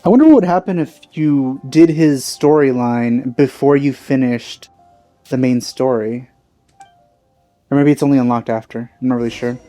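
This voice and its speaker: A man with a mellow, relaxed tone comments casually on a game he is playing. He muses in halting phrases, recorded dry, with faint music behind him. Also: medium pitch, dry recording. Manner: musing, hesitant, halting phrasing. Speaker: let's play host.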